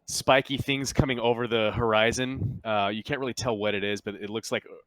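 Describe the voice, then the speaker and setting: Male game master, smooth clear tone, close dry sound, halting improvised narration of a horror scenario. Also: fairly low pitch, steady tone, a bit bright, no echo, rough recording. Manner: halting, searching for words while describing scenes.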